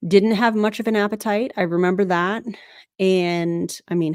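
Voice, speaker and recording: Woman, livestream commentator, low-ish voice, blunt, skeptical, sarcastic, dry close sound. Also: no room sound. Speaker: anti-MLM commentator reacting to a clip.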